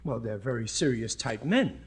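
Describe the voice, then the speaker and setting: An actor playing a blustery, naive, good-hearted husband with husky, colloquial speech in a 1950s radio sitcom. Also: male voice, mid-range voice, animated. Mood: defensive, unworried. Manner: blustery, colloquial, slow-witted.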